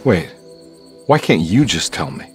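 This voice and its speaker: An actor playing a wry, world-weary private detective in a video game, with a dry, sardonic manner. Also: male voice, deep voice, very animated, unhurried, over music. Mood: uneasy, dry. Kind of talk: cinematic game dialogue, noir detective.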